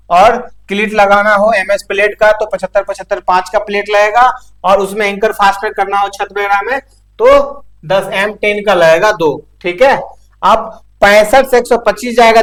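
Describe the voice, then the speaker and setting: Man teaching. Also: high voice. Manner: explanatory, with frequent check-in tags. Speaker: instructor. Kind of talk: informal practical technical lecture.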